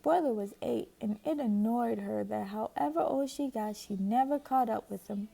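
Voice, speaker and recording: A woman reading a children's picture book aloud with expressive, lively narration. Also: on the high side, normal speed, vocal fry, rough recording. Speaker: storybook reader.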